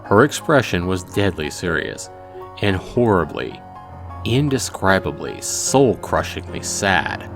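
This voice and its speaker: Low-voiced man narrating, unhurried. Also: sing-song. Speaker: let's play host reading a visual novel. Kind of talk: expressive first-person story narration. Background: music.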